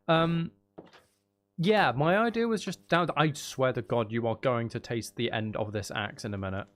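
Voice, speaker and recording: A man with a gravelly voice giving casual, rambling, sweary commentary as he thinks aloud while playing a video game. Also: mid-range voice, moderate pace, fairly bright tone. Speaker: gaming video host.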